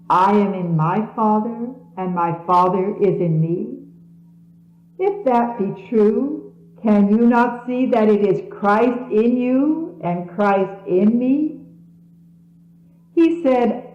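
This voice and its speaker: A woman reading religious messages like a sermon, solemn, measured and declamatory, in a 1970s archive recording. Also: medium pitch, roomy sound, highs cut off. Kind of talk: scripted scripture reading, sermon-like.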